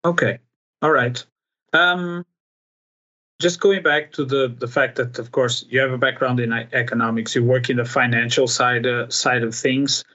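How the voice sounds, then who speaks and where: A man's voice: mid-range voice, fairly even intonation, moderate pace, pushed and bright, lo-fi sound. A man hosting a podcast, interviewing a guest in a conversational way. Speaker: podcast host.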